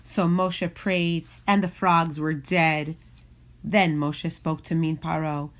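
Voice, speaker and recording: A woman narrating a rhyming children's storybook in an expressive, deliberate way. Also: deep voice. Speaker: storybook reader.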